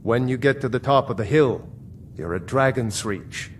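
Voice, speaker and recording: A man voicing a generous, reassuring villager in a fantasy video game, speaking in a measured way. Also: medium pitch, a little hiss or hum, natural voice. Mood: helpful, friendly. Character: kindly, generous village man welcoming travelers. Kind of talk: video game character acting.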